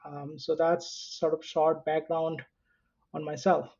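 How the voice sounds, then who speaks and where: A man with a Bangladeshi accent speaking casually as an interview guest, halting and correcting himself as he goes. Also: a little high, little pitch movement, darkish tone. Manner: halting, conversational, frequent self-corrections. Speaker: interview guest, software engineer and founder. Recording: dull, lo-fi remote connection.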